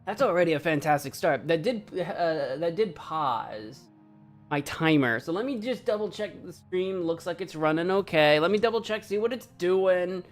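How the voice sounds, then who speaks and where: A woman streaming a game, chatting casually, cracking jokes, laughing often and thinking out loud. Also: fairly low pitch, lively intonation, normal speed, faint music behind. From United States. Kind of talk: casual live-stream commentary.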